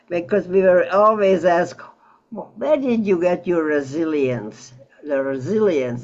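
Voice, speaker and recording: An elderly woman recalling her life in an interview, halting and reflective as she searches for words over an online call. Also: medium pitch, a little mellow, muffled recording. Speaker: Holocaust survivor interviewee. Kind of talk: personal recollection in an interview. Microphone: video call.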